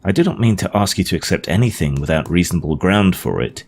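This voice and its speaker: Man voicing an earnest Victorian gentleman scientist, noisy recording. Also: low-pitched, expressive, fast talker. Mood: earnest, challenging. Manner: didactic, emphatic, precise. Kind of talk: audiobook character dialogue. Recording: clearly noisy home recording.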